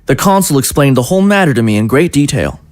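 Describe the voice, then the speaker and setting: An actor playing a smooth-talking, placating presidential adviser in an audio drama, formal and wordy. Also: male voice, medium pitch, big pitch swings, fast, no room sound, clean studio sound, close to an everyday voice.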